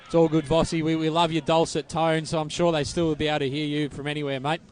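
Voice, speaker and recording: A man's voice: a little high, little pitch movement, fast talker, a little mellow, slight background noise. A man calling a football match with chatty, matey banter. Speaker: football commentator. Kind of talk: live sports commentary. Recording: outdoor commentary with crowd.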